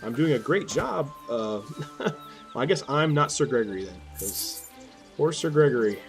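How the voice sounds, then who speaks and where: Male let's play host, lively intonation, self-deprecating thinking aloud, game music underneath. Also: mid-range voice, moderate pace. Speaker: gaming video host. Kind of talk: casual let's play commentary. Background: game music and effects.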